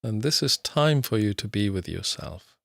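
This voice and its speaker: A man with a fairly low voice guides a meditation in a dry recording with very little room sound. He speaks in short, measured instructional phrases. He leaves long, unhurried pauses between them. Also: animated, vocal fry, fairly bright tone, dry recording. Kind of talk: guided body scan meditation, slow instructional narration.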